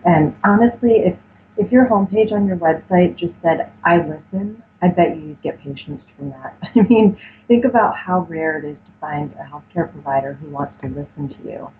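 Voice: mid-range voice, normal speed. A health consultant guest answers interview questions in a hesitant, earnest way, with plenty of fillers. The voice comes through a tinny, phone-like line with a faint hiss behind it.

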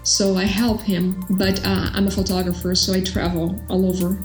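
A woman's voice: medium pitch, little pitch movement, normal speed, darkish tone. A woman with a Brazilian accent talks casually and a little hesitantly, with fillers. Her voice sounds roomy and echoey, with light music behind her. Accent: Brazilian Portuguese. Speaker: interviewee, customer success helper. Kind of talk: casual interview.

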